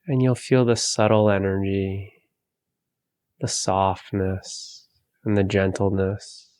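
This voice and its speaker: A man guides a meditation in a fairly deep voice, recorded very dry and close. He speaks very slowly and gently, leaving long pauses between phrases. His manner is calm and soothing throughout. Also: clean tone, no echo.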